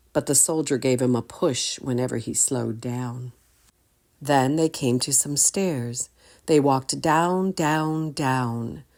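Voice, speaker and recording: A woman with a low voice reads a children's story aloud. Her delivery is slow and deliberate, with lively, expressive swings in pitch. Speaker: storytime reader. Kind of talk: children's story read-aloud with questions to listeners.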